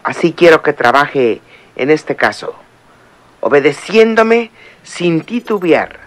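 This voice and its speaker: A woman's voice: sits low, big pitch swings, takes it slowly, full character voice. An actress playing a stern, scheming female head nurse who gives cold orders, in an old radio drama. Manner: authoritative, cold. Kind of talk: 1960s radio drama acting.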